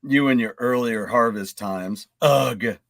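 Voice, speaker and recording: A man's voice: mid-range voice, slow, creaky, a bit bright, dry recording, studio quality. A man chatting casually and teasingly as a panelist on a video game podcast. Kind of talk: casual chat.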